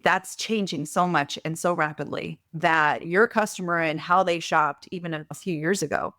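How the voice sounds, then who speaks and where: A woman consultant answering interview questions in a brisk, conversational way, often adding "like" and "right?". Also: fairly low pitch, dry recording, clean studio sound. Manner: energetic, uses "like" and "right?" often. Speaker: guest mentor, e-commerce consultant.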